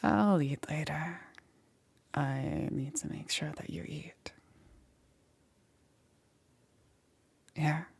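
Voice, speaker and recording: Woman acting a slow, whispery, gentle witch. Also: low voice, full character voice. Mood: gentle, coaxing. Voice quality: soft, breathy, often whispered. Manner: hesitant, halting, reassuring. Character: gentle, apologetic witch necromancer caring for her undead patient. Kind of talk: ASMR roleplay, intimate and slow.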